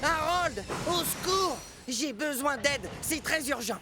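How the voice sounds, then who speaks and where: Excitable little boy train in a kids cartoon, high voice, earnest, music under it. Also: takes it slowly. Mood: urgent. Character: cheeky young purple tank engine, male, eager and earnest. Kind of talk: children's animated series dub.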